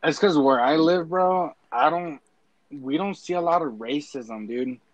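A man's voice: a little high, measured pace, no echo, muffled recording. A young man of Mexican family, talking casually in a rambling way with lots of bro and dude. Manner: rambling, filler-heavy, says bro and dude. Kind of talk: casual chat.

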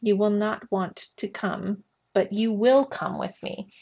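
A woman voicing Death as a solemn, grandiose fiend in a storybook, speaking slowly and pompously in archaic phrases. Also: mid-range voice, vocal fry, darkish tone, highs cut off. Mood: ominous.

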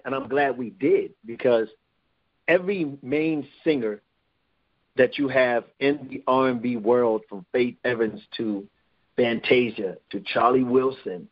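Rambling New man, muffled line. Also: medium pitch. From New York. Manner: rambling with many restarts. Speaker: guest. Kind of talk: casual interview talk.

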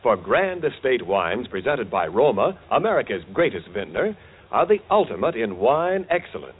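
A man announcing and selling as a 1940s radio sponsor pitchman, with polished, emphatic delivery. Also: big pitch swings, moderate pace, breathy edge. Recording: tinny, hissy vintage radio broadcast.

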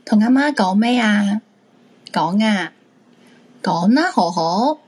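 A woman's voice: medium pitch, lively intonation, slow pace, darkish tone, slightly rough. A woman with a Hong Kong Cantonese accent playing a mother and wife in a radio drama. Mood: insistent.

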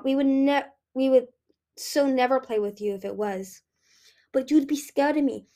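A woman's voice: on the high side, mellow, close to an everyday voice. A woman voicing a group of chattering baby dragons who talk in small, piping, childish voices and trip over their words. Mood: chatty. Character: chattering little baby dragons, cheeky and curious.

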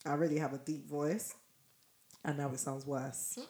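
Woman podcast co-host, hoarse froggy low voice, chatty and laughing, echoey room. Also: normal speed. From Nigeria. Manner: laughs often, animated. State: losing her voice. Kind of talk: casual chat.

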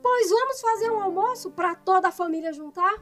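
A bossy mother-in-law from a radio soap, fed up with everyone at home. Her voice is extremely high, and she complains and scolds like someone forever at war with her family. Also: brisk, a bit bright, faint music behind. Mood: satisfied. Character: shrill, quarrelsome mother-in-law fed up with household.

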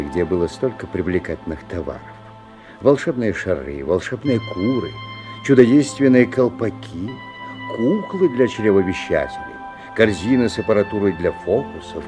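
An actor playing a genial father who narrates a story in a 1970s radio play, theatrical, with deliberate pauses. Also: low-pitched. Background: faint music.

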